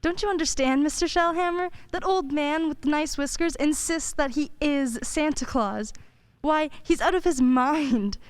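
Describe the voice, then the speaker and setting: A woman playing a brisk, worried department store executive in a radio play, earnest and insistent. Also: high-pitched, close to an everyday voice.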